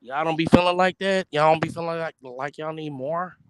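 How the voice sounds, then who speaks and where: A man in his forties co-hosting a podcast, slangy, joking and animated in casual chat. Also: a little high, a little fast, smooth, no echo. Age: 40s. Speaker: podcast co-host.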